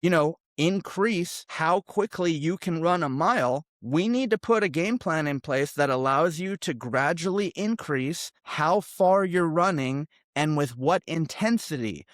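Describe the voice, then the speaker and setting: Man, thirties, sales coach, emphatic, over-articulated, somewhat high pitch, dry close recording. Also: expressive, a little slow, few pauses, a bit bright, no room sound. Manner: emphatic, over-articulated, demonstrates example lines. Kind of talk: direct-to-camera sales coaching.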